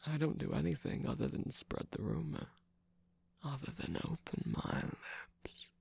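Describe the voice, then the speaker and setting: A performer voicing a smug, seductive villainous cookie radio host in an ASMR romantic roleplay, slow, coaxing and teasing, with a breathy, gravelly voice that often drops to a whisper. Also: medium pitch, wildly swooping pitch, darkish tone, exaggerated voice. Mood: sly, coaxing. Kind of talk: ASMR romantic roleplay, scripted character acting. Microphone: close microphone, dry.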